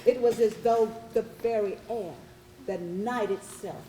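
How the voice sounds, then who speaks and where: A woman playing an aggrieved, haughty Spanish slaver giving formal, measured testimony in a readers theatre monologue. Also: mid-range voice, close to an everyday voice. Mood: bitter, shaken. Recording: echoey room with faint noise.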